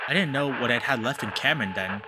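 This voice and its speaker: A man voicing a haunted, grieving animal-man who narrates a visual novel in a hushed, grave, measured way. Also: medium pitch, lively intonation, heavy background noise, close to an everyday voice. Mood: grieving, shaken.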